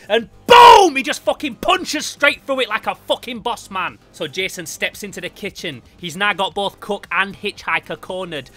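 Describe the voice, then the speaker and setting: A man reviewing a comic book in an animated, sweary storytelling style. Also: high-pitched. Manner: excitable, foul-mouthed, makes sound effects.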